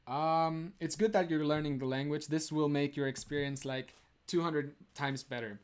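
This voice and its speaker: A man's voice: fairly high pitch, fairly even intonation, normal speed, clear, resonant tone. A young man from Romania, the host of a live stream, chatting casually with viewers, laughing often and filling his talk with "like". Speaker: streamer answering chat.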